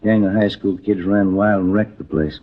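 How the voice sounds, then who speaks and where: An actor playing a polite, steady American police detective in a plain, matter-of-fact radio drama manner. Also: male voice, sits low, moderate pace. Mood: firm. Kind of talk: low-key 1950s radio police drama acting. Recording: dull old radio recording.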